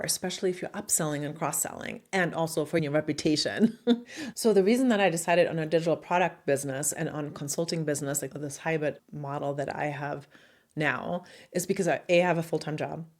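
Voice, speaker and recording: Woman, German accent, middle-aged, deep low voice, direct, casual advice vlog. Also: brisk, a little mellow. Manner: direct and at times silly. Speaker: marketing coach and YouTuber.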